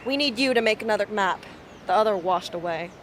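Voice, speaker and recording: A woman's voice: medium pitch, lively intonation, brisk, a bit bright, slight background noise, natural voice. A woman playing an abrasive, defiant young survivor in an amateur radio drama, blunt and scornful. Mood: impatient.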